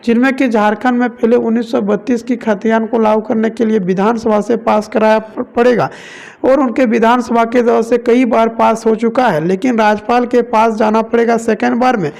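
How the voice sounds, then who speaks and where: A man's voice: very high voice, steady tone, moderate pace, vocal fry, darkish tone. A man from India narrating news reports as a presenter, leaning on repeated stock phrases.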